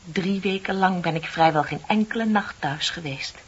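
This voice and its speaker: An actress playing a heartbroken, ashamed young woman confessing in a radio play, dramatic and drifting into breathy near-whispers. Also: lowish voice, normal speed. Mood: bitter, anguished. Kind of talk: Dutch radio play acting. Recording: muffled radio play recording with tape hiss.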